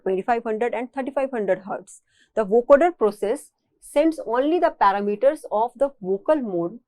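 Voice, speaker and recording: Higher-pitched Indian-accented woman lecturer. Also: darkish tone, no echo. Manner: explanatory, repeats and rephrases points. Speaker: college engineering lecturer. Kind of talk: recorded technical lecture.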